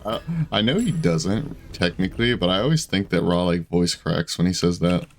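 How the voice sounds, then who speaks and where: A man in his twenties giving casual, chatty gameplay commentary from his room, self-deprecating and quick to laugh. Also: deep voice. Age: 20s. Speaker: gaming streamer. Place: home room at a desk.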